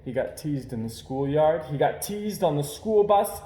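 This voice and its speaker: Man, storybook read-aloud, expressive and swooping, slightly high voice, echoey room. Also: normal speed. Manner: animated, dramatic emphasis. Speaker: storybook reader. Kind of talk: expressive read-aloud of a children's picture book.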